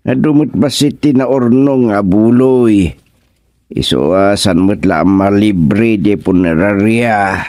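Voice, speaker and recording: A man's voice: on the low side, slow pace, darkish tone, no room sound, clean studio sound. An actor with an Ilocano accent playing a folksy, jokey village chairman in a radio comedy-drama, drawling and exclaiming.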